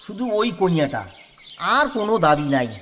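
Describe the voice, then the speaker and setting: A man's voice: high voice, sing-song, moderate pace, sharp tone, a little hiss or hum, heavily acted. A man with a Kamtapuri accent playing an earnest village man who insists he wants only the bride, in a rural radio play. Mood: insistent.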